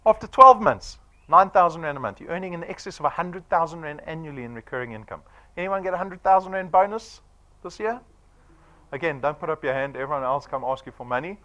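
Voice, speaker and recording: A man's voice: fairly high pitch, sharp tone. A man of forty with a South African accent, giving a punchy sales presentation to an audience and firing off rhetorical questions. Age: 40s.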